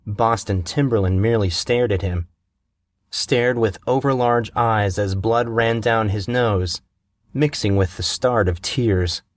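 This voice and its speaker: A man narrating a fantasy novel in a measured, steady storytelling manner, recorded on a close mic. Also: fairly low pitch, no room sound.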